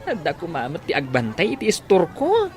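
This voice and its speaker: A woman with an Ilocano accent playing a nosy, excitable market vendor in an audio drama. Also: lowish voice, brisk, some chatter behind. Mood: excited. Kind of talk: Filipino audio drama acting.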